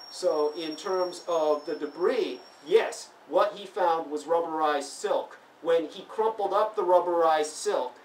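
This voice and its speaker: A man's voice: a little high, a little mellow. A man born in Taiwan giving a lecturing monologue that turns heated and emphatic as he answers critics at a convention. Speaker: interviewee. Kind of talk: monologue answering online comments. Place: convention venue. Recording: roomy, distant mic, faint background noise.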